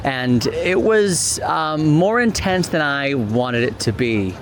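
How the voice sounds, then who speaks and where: A man's voice: fairly high pitch, expressive, slow, slight background noise. A man hosting a vlog outdoors, explaining things casually to the camera. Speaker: vlog host. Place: outdoors at a shopping and dining district. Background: music, outdoor ambience.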